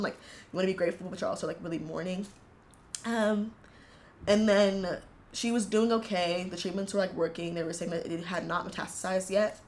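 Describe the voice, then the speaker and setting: A woman in her twenties from the United States, telling her family story in an earnest, reflective interview, pausing often as she goes. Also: on the low side, slight background noise. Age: 20s. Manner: earnest, reflective, halting with frequent fillers. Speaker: interviewee, university student. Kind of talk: casual personal storytelling.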